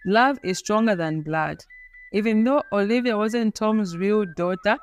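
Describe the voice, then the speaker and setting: A woman's voice: medium pitch, a little slow, smooth. A woman storyteller reading a scripted folktale in a plain, simple way, in short sentences.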